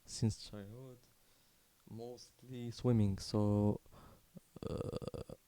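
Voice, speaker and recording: A man in his twenties from Morocco tells a personal story unscripted, in a halting, candid, casually sweary way. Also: fairly low pitch, little pitch movement, mellow, smooth, no echo. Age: 20s. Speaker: video creator telling his life story.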